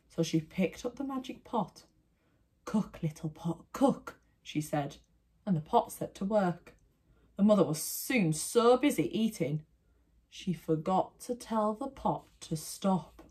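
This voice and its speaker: A woman telling a children's story, expressive and deliberate, like a primary teacher. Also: on the low side.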